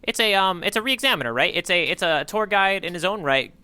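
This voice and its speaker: Bright, clear-voiced man chatting on a podcast. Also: high voice, animated, a little fast. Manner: jokey, playful riffing. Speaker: podcast co-host. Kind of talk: casual chat on a manga readalong podcast.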